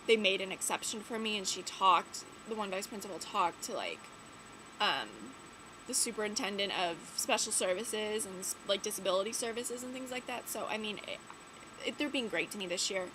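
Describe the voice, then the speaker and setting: A woman's voice: mid-range voice, moderate pace. Stuffy teen girl vlogging, chatty. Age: late teens. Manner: rambling, many "like" and "um" fillers. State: stuffy nose from a cold. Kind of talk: casual chat to camera. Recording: audible background noise.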